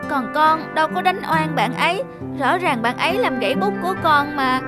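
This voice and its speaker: An aggrieved little cartoon boy. The voice is very high and plaintive as he argues, with clear music behind. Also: lively intonation, normal speed. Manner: plaintive, argumentative. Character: small stubborn, aggrieved schoolboy. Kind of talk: cartoon dubbing.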